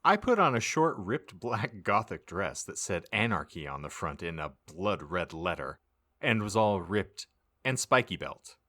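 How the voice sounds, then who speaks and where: Male podcast narrator, low and forceful, theatrical mock-serious delivery for comic audiobook reading. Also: very animated, measured pace. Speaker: podcast host reading aloud.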